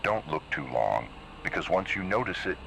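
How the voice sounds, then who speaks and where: A man voicing a grave narrator of eerie analog horror warnings, speaking in an ominous, measured and deliberate way over a noisy tape-style recording. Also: very animated, heavily acted. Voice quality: very deep, gravelly.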